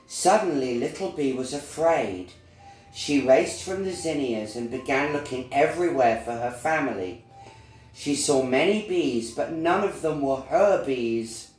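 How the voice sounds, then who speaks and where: A man narrating a children's storybook in a deliberate, expressive storytelling manner, recorded in an echoey room. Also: mid-range voice, a little hiss or hum. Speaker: storyteller reading a children's book.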